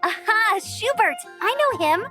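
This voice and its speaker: Very high, peppy young scout girl. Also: lively intonation, a little slow, over music. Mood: knowing, lighthearted. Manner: bubbly, upbeat, friendly. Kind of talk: video game character acting.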